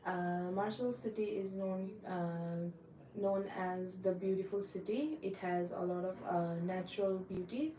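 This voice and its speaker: A woman's voice: medium pitch, measured pace, dark tone, echoey room, distant mic, slight background noise, rough recording. A young woman from Nepal, answering mock visa interview questions hesitantly and politely, with many fillers. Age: young adult.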